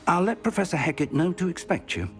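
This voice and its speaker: A man's voice: sits high, very animated, loud music behind the voice. A man playing an earnest, learned, cautious professor in a video game, speaking formally and with gravity. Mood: cautioning. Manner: measured, formal, grave.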